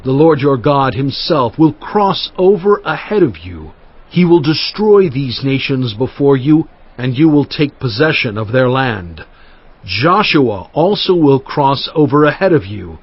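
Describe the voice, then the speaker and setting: Moses, husky and fervent. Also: male voice, medium pitch. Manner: earnest, exhorting, emphatic. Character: Moses, aged male prophet and leader. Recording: muffled lo-fi audio with faint music.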